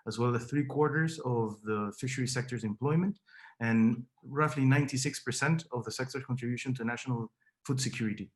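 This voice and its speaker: A young man from Peru, a Spanish speaker with a Peruvian accent, giving an academic seminar talk with many fillers and repeated words. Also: medium pitch. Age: young adult. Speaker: PhD candidate giving a seminar.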